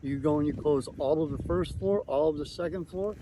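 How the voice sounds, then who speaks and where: A man's voice: on the high side, brisk, noisy background. Guy doing tour guide talk, explains it step by step, short punchy statements. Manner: emphatic step-by-step explaining in short declarative phrases.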